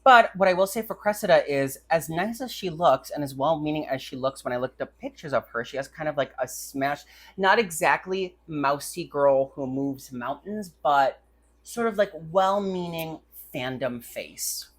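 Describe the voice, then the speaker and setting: A woman's voice: low-pitched, normal speed. A woman hosting a casual chat podcast, wry and gossipy, with a stammer that makes her restart words. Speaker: podcast host.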